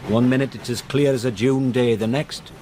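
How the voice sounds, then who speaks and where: A man's voice: mid-range voice, fairly even intonation, moderate pace, background noise, close to an everyday voice. Man acting a blunt, ominous Northern English local. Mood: foreboding. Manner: blunt, terse, foreboding. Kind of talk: radio drama acting.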